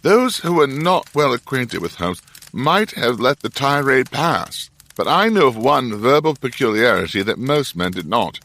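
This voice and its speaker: A thoughtful Victorian doctor with a mid-pitched, expressively varied voice. He is measured, dry and reflective, like someone narrating his own memoir in an audio drama. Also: big pitch swings, fairly bright tone, full character voice. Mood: suspicious narration. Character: thoughtful, self-doubting Victorian doctor, the detective's companion. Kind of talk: first-person narration and audio drama dialogue.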